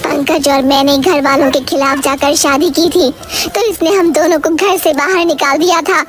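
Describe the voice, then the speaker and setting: A woman's voice: fairly even intonation, normal speed, natural voice. A woman doing a grieving ghost woman. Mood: bitter. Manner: grieving, accusing, low and heavy. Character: vengeful female ghost haunting a well. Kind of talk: animated storybook voice acting.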